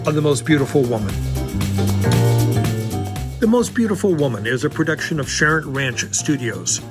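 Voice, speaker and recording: Over prominent music, a man with a slightly high voice delivers scripted radio drama narration. He is an announcer, dramatic and presentational.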